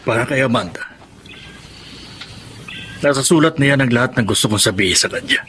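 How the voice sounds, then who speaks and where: A man's voice: mid-range voice, fairly quick, sharp tone, slight background noise, natural voice. A man playing a hurt but resolute married father in a Filipino radio drama, earnest and heavy-hearted. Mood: resigned, resolute. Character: wounded, proud married father, resigned and resolute.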